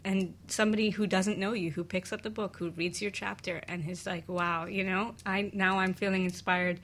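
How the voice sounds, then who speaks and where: A woman's voice: mid-range voice, steady tone, moderate pace, fairly bright tone. A woman co-hosting a talk show, chatting in an encouraging, affirming way. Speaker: co-host. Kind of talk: conversational talk show discussion.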